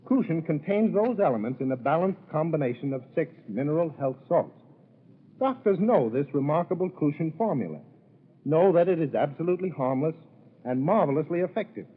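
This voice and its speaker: A man's voice: on the high side, expressive, fairly quick, breathy edge. A man as a sponsor's announcer reading a formal, solemn 1930s radio advertisement. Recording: tinny, scratchy-voiced 1930s radio recording.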